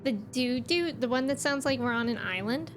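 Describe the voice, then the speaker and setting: A woman's voice: high-pitched, moderate pace. A woman livestreaming a game, chatting casually and reacting to the story in slangy speech. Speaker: game streamer. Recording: music behind the voice. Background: game music.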